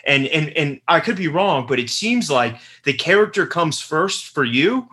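A man's voice: a little high, expressive, a little slow, pushed and bright. A man hosting a casual interview, effusive and gushing, stumbling over repeated words and calling his guest brother and dude. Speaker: podcast host.

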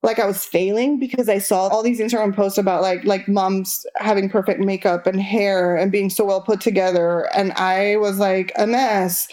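A woman's voice: medium pitch, normal speed, hardly any pauses. A young adult woman from Argentina whose first language is Spanish, talking candidly as an interview guest and filling her speech with "like". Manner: candid, many "like" fillers, lively rises when exasperated. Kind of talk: casual interview chat.

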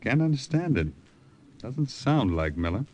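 An actor playing a brisk army colonel who gives orders in clipped phrases broken by small hesitations, 1940s radio acting. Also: male voice, medium pitch, very animated, mellow. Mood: concerned. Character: male army colonel, brisk commanding officer.

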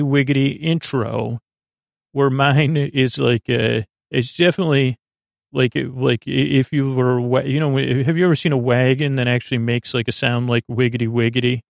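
Rambling man with a gravelly, creaky voice. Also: medium pitch, dry recording. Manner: meandering, halting, trails off into tangents. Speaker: podcast host. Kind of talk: rambling bedtime podcast monologue.

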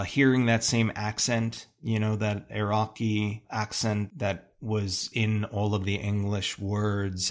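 A middle-aged American man telling personal stories in an interview in a thoughtful, halting way, often pausing mid-phrase. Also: fairly low pitch. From United States, suburban Long Island. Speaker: interviewed artist. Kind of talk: reflective interview storytelling.

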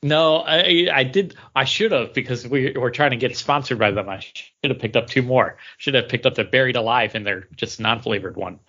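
A man's voice: medium pitch, normal speed, few pauses, pushed and bright. A man hosting a podcast in casual conversation, recorded with dull lo-fi sound. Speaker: podcast host. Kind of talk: casual chat.

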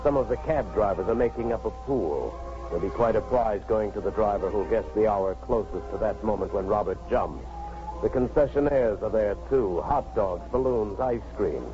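Man as low-voiced old radio narrator, music underneath. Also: moderate pace, close to an everyday voice. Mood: grave, wry. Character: grave, measured male narrator of suspense story. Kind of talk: 1950s radio drama narration. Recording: muffled 1950s radio recording.

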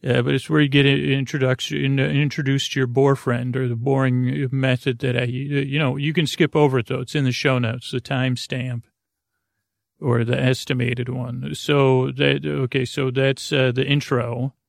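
Male sleep-podcast host, creaky low-key voice, dry close sound, meandering and self-mocking. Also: level tone, moderate pace, dry recording. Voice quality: soft creaky tones. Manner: rambling, easily sidetracked, self-mocking. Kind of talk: rambling bedtime podcast talk.

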